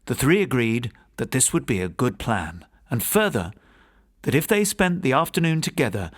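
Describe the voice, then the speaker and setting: A man narrating a gothic ghost story for an audiobook in a measured, formal, dramatic storytelling manner. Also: medium pitch, sing-song.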